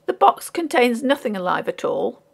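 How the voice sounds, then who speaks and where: A woman's voice: a little high, big pitch swings, moderate pace, vocal fry, voice pushed up, heavily acted. Lady reading as a posh young gent in an audiobook, proud, touchy, ironic, very up and down. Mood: indignant. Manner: lofty, ironic, formal diction. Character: proud, easily irritated educated English gentleman, young adult. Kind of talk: audiobook character voice.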